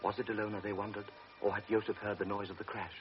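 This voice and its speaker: A male narrator tells the story in a measured, dramatic way, his voice sitting fairly low. The sound is dull and muffled, like an older radio broadcast. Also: little pitch movement, music in the background.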